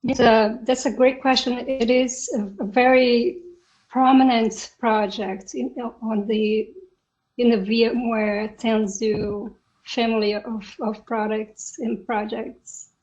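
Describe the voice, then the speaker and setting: A Brazilian woman with a slightly raised voice talks through her work as a podcast guest at a slow, unhurried pace. She often breaks off mid-phrase to search for the right words. The audio is muffled and lo-fi. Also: on the high side, level tone, a little mellow. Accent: Brazilian Portuguese. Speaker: podcast guest, software engineer. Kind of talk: casual interview talk.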